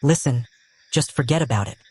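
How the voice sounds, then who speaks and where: A man's voice: mid-range voice, fairly quick, a little mellow, slightly rough, lightly acted. A man acting an easygoing, self-effacing wizard office head in a fan audio drama, with a casual, understated delivery. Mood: reassuring, casual.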